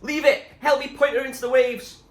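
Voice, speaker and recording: A man's voice: very high-pitched, steady tone, fairly quick, reverberant, pitched above the performer's own voice. A man voicing an anxious, desperate girl in a dramatic storybook reading. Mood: panicked yelling. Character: Zoe, anxious girl out at sea, desperate and shouting.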